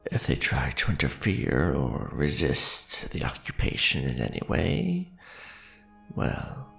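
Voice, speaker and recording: Ancient vampire lord, played by a man for ASMR roleplay: imperious, gravelly and low, with sweeping, dramatic pitch swings. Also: sharp tone, exaggerated voice. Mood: cold, menacing. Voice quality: gravelly, creaky. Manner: formal, grandiose, measured, dramatic. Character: centuries-old male vampire lord, imperious, aristocratic, tender to his beloved.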